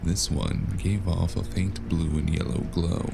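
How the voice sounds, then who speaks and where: Very deep, gravelly noir actor as weary man. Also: steady tone, measured pace, full character voice. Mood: dry, weary. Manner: brooding, clipped dramatic phrasing. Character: grim, weary cyberpunk noir man narrating his own journey. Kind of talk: scripted first-person noir narration. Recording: loud music under the voice. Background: music.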